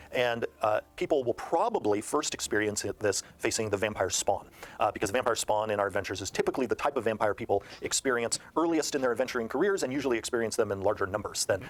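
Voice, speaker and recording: A man speaking as a game designer in an interview, explaining in detail with halting emphasis. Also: on the low side, lively intonation.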